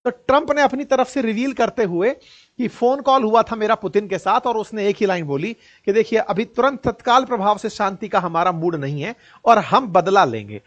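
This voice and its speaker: A man's voice: high voice, animated, moderate pace, fairly bright tone, highs cut off. An Indian man giving informal, colloquial news commentary with a mocking edge, often putting rhetorical questions straight to his listeners.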